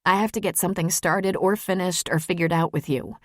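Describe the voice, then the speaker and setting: A woman's voice: on the low side, steady tone, fairly quick, no room sound, clean studio sound, natural voice. A woman voicing an earnest, openly emotional adult woman lawyer in an audiobook. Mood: urgent, pleading.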